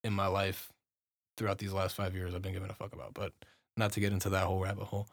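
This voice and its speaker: A young man co-hosting a casual chat, loud and slangy with heavy swearing. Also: deep voice, level tone, fast, no echo, studio quality. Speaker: podcast co-host.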